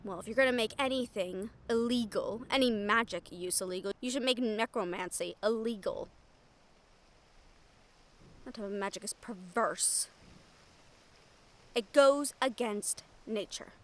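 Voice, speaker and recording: A woman playing a wry, foul-mouthed shadow thief in a fantasy audio roleplay, nervous but frank, with dramatic pauses and sighs. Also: a little high, a little hiss or hum, close to an everyday voice. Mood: scornful.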